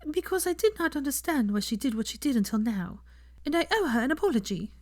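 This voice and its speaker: A woman voicing a guilt-ridden, earnest young married woman in an audiobook story, speaking in halting, formal phrases. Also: high-pitched, big pitch swings, dry recording, pitched above the performer's own voice. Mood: earnest, nervous. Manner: halting, formal phrasing without contractions, breaks off mid-sentence.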